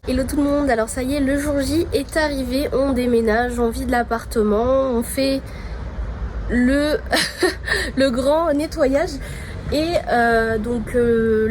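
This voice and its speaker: A woman's voice: a little high, background noise. A young woman from France with a cold, chatting casually in a vlog while she tours and tidies her apartment, full of fillers. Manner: rambling, many fillers, gives a tour while tidying. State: congested with a cold. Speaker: vlogger. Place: apartment indoors.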